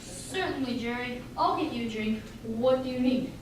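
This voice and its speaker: A woman's voice: a little high, moderate pace, close to an everyday voice. A woman playing a helpful, courteous man in an amateur radio play. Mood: obliging. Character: helpful, courteous, smooth male party host. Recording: very echoey room, distant mic, faint hiss.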